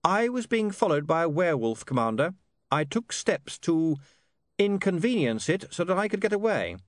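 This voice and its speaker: Man playing a high-voiced, haughty young newspaperman. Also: very animated, moderate pace, no room sound, studio quality. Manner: arrogant, clipped, supercilious. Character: young male newspaperman, stubborn, haughty, defiant, nervy. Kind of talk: audiobook character dialogue.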